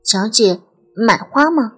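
An uncanny young boy flower seller, played by a woman, speaks with lively, swooping pitch over music. He is fluent and persuasive, sly and far too knowing for his age, in the manner of an eerie radio play. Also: takes it slowly. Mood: coaxing, knowing.

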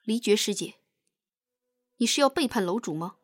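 A mid-pitched, wary assassin played by a woman who speaks seriously, as if warning. The style is Chinese period audio drama. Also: lively intonation, normal speed, dry recording, close to an everyday voice. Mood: wary, accusing. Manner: serious, admonishing. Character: cautious, stern young female assassin.

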